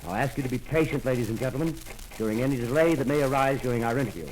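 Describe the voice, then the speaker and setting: An actor playing a polite, brisk radio field reporter describing a scene live in a 1930s radio drama. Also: male voice, mid-range voice, a little hiss or hum, lightly acted, poor audio. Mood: earnest.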